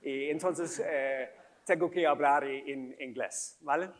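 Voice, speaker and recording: A man's voice: medium pitch, unhurried. Danish-accented man giving a keynote. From Denmark. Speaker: happiness researcher giving a keynote. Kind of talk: public talk to an audience.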